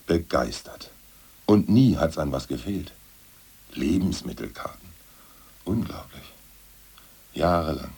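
An actor playing a brooding, ousted aging party official in a radio drama, who recites poems in a slow, solemn drone. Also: male voice, sits low, darkish tone, slightly rough, heavily acted. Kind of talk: 1980s radio drama acting.

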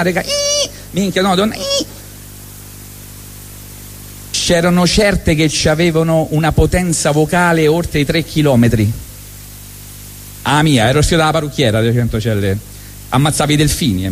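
A man with a Roman accent telling a comic monologue on stage, lively and full of asides. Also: mid-range voice. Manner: lively, jokey, chatty asides. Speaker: storyteller on stage. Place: live stage at a benefit evening.

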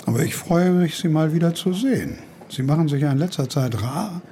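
An actor playing a cynical older academic in a German radio play, grumbling in a pompous, declamatory, world-weary way. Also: male voice, fairly high pitch, animated, fairly quick, noisy background, lightly acted.

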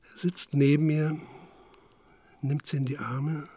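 An actor playing a sober narrator who recounts a crime in a factual, composed way in a radio drama. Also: male voice, on the high side, flat delivery, takes it slowly, dark tone, husky, no room sound.

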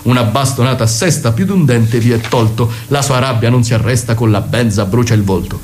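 A man's voice: mid-range voice, fairly quick, a little mellow, slight background noise. A man narrating a comic radio theatre piece, declaiming in sing-song rhymed verse. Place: radio broadcast.

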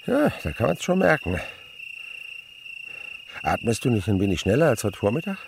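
An actor playing an elderly, thoughtful and melancholy naturalist in a German radio play, musing and pausing as he speaks. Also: medium pitch, sing-song, gravelly, background noise. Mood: fond, instructive. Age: older. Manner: reflective, musing, hesitant pauses.

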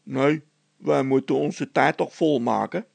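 Whiny, gravelly, dim workman voiced by a man. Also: mid-range voice, steady tone, a little slow, a little mellow, no room sound. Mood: whining. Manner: stammering, evasive, whining. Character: dim, stubborn, whining workman, male.

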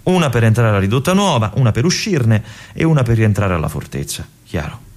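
An actor playing a young army lieutenant at a remote fortress in a theatrical radio monologue, introspective and questioning himself, sometimes dropping to a whisper. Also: male voice, fairly low pitch, very animated, fairly quick, a little mellow. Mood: explaining.

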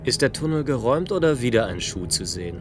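An actor playing a stern, commanding professor in charge, speaking curtly and with authority in a radio play. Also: male voice, mid-range voice, big pitch swings, fast, music in the background, lightly acted. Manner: curt, authoritative.

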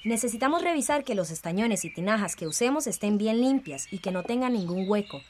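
An actress playing a brisk, outspoken farmer's daughter in a radio soap opera, direct and expressive. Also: female voice, medium pitch, slight background noise, natural voice. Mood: practical. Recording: faint birds and outdoor ambience.

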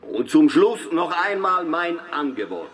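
A man's voice: on the high side, sing-song, measured pace, a bit bright. An actor playing a mocking showman magician addressing a crowd with theatrical, sneering patter in a radio drama. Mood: grand, challenging. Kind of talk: radio drama stage speech. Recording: music and crowd behind the voice. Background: music and a crowd.

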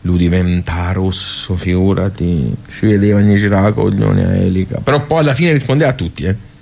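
A nostalgic village club regular reminisces in a low-pitched man's voice with a Tuscan accent. He is chatty and folksy, wandering off into digressions as he goes. The delivery is that of a colloquial radio theatre monologue. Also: brisk, creaky, darkish tone. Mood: nostalgic, wry.

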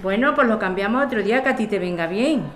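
A woman's voice: mid-range voice, lively intonation, quick pace, close to an everyday voice. A woman with an accent from Spain acting an eager, concerned amateur sleuth in radio soap dialogue. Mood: insistent concern. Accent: Spanish.

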